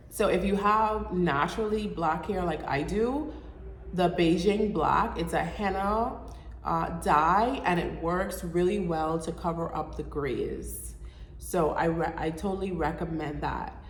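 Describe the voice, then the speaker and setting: A woman's voice: fairly low pitch, a little hiss or hum. A young adult woman hosting her own vlog, sounding nasal from a cold, chatting casually as she explains hair care step by step. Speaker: show host. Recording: echoey room with long reverb.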